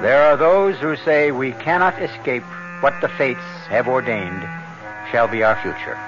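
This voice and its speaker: A muffled vintage radio broadcast with clear music behind a male host. He narrates dramatically and wryly at an average pitch, speaking straight to the listener. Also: a little slow. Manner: wry, conversational, addresses listener.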